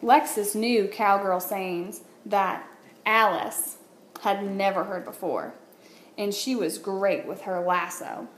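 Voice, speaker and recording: A woman, a teacher, reading a children's picture book aloud with expressive, deliberate phrasing. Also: mid-range voice. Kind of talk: read-aloud storytelling for children. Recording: roomy echo, light background noise.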